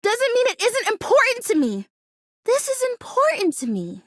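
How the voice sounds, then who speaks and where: A woman voicing an earnest, excitable houseplant who dreams of being real, as a video game character with dramatic, expressive delivery. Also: extremely high pitch, moderate pace, no echo, studio quality, exaggerated voice. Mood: indignant, hurt.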